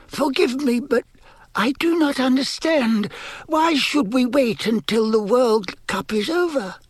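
A timid male servant in an audiobook, pitched high and speaking slowly. He is nervous and cringingly deferential, hesitating before his master. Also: animated, a little mellow, dry recording, heavily acted. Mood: timid, puzzled. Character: timid, fearful, servile male henchman.

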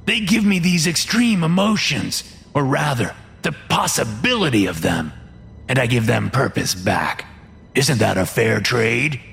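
Horror audio villain, played by a male narrator: big controlling boss, gravelly, reasoning coldly, deliberate. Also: mid-range voice, animated, a bit bright, soft background music. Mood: self-justifying. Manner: measured, reasoning, coldly persuasive. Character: fat, sinister male boss, self-justifying and controlling. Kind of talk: audio horror story character.

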